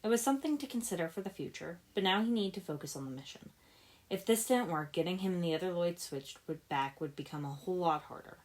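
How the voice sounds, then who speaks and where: A woman's voice: fairly low pitch, expressive, fast. A woman narrating a story as a scripted reading, moving between narration and dialogue. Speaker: fan fiction narrator, podfic reader.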